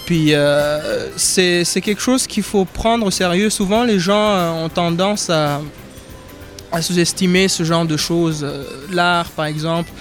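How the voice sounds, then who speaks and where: A young man in his twenties from Rwanda, a singer in a vocal group, talking casually with many pauses and fillers. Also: high-pitched, faint music behind. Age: 20s. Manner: relaxed, hesitant with many fillers. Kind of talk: casual interview and a cappella singing.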